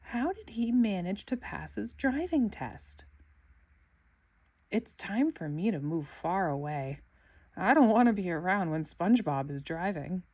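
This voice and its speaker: A woman voicing a weary, anxious female cartoon boating-school teacher in a storybook, with a hesitant, measured delivery. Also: lowish voice, big pitch swings, a little mellow, dry recording, exaggerated voice. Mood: bewildered.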